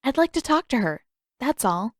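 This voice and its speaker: Woman voicing an earnest, higher-pitched teenage girl. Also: very animated, moderate pace, no room sound, studio quality. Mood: earnest, polite. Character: earnest, determined teenage girl seeking entry.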